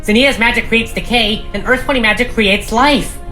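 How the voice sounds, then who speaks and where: A narrator voicing an eager young female unicorn pony explaining things excitedly in a cartoon audio drama. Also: mid-range voice, moderate pace, faint music behind, pitched above the performer's own voice, lightly acted. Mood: excited realization.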